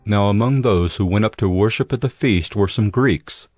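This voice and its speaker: A man narrating a Bible audio drama in a measured, solemn storytelling style. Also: on the low side, a little mellow. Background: music.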